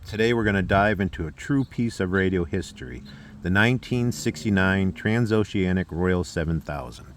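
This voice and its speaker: An American man casually presenting a demonstration as a hobbyist, with a halting, unscripted delivery. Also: low-pitched, a little hiss or hum.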